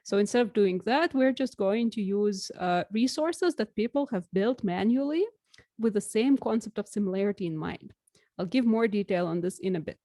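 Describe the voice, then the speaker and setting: A young woman whose first language is Russian gives a recorded academic lecture over slides in a clear, measured way, with occasional fillers. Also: medium pitch, dark tone, no echo, clean studio sound. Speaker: researcher giving a lecture.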